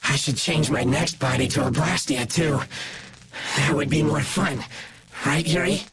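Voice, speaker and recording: An actor playing a deranged, battle-hungry villain in an anime video game, taunting in a theatrical, maniacal, sing-song way. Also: male voice, fairly high pitch, a little slow, noisy background. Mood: weakened, gloating. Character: deranged, battle-hungry male villain obsessed with his rival.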